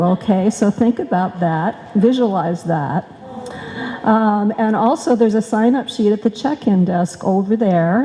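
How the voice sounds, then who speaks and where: Female troupe director, soft-edged mellow voice, chatty and joking from the stage, long hall reverb. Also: mid-range voice. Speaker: director of a radio players group. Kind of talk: informal speech to a live audience.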